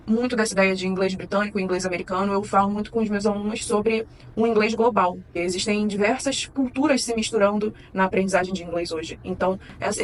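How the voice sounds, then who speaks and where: A Brazilian woman speaks as an English teacher, calm and measured as she explains step by step. Her mid-range voice carries a noticeable echo from the room. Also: mid-range voice, slight background noise. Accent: Brazilian Portuguese. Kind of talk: explanatory video lesson.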